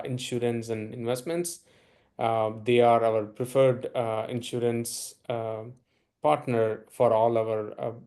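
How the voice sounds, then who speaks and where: A man's voice: medium pitch, mellow. Hesitant man on an online meeting. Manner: hesitant, many fillers. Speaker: audience member, later sponsor announcer.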